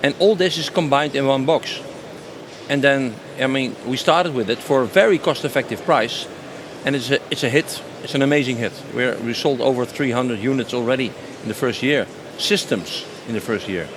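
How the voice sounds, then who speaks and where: A man in a mid-pitched voice. He talks spontaneously and enthusiastically, often repeating a phrase for emphasis. The recording has obvious background noise, as on a busy exhibition floor. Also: moderate pace. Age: 50s. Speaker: company sales director being interviewed. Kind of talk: spontaneous interview answers. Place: trade show stand.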